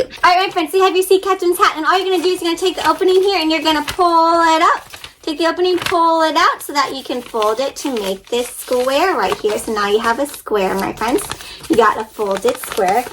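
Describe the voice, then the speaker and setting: A woman reading a storybook aloud to young children with animated, wide-eyed expression, guiding them directly as she goes. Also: high-pitched, slight background noise. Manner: addresses young listeners directly with questions and sound effects. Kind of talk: interactive storybook reading and paper-folding instruction.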